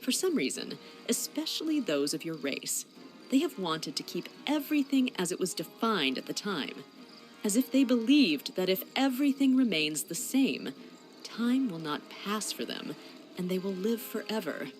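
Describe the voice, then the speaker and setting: A woman's voice: mid-range voice, sing-song, normal speed, soft background music, close to an everyday voice. A woman playing a grave, pragmatic senior inquisitor in a fantasy game cutscene. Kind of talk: scripted video game cutscene acting.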